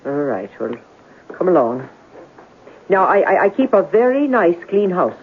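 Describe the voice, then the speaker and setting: A woman's voice: lowish voice, big pitch swings, unhurried, slight background noise. An actress playing a nervous, evasive middle-aged landlady with colloquial, uneducated speech in an old-time radio drama. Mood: brisk, businesslike. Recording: tinny band-limited vintage radio recording.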